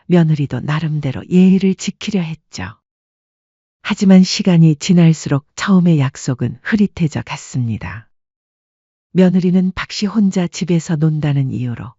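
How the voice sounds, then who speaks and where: A woman narrating a scripted story with a soft, husky voice. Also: low voice, no echo, highs cut off.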